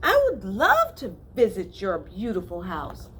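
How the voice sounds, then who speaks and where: A woman's voice: mid-range voice, huge pitch swings, unhurried, slightly rough, full character voice. A woman voicing a cheerful, humble male country mouse who happily welcomes his cousin. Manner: warm, welcoming, content.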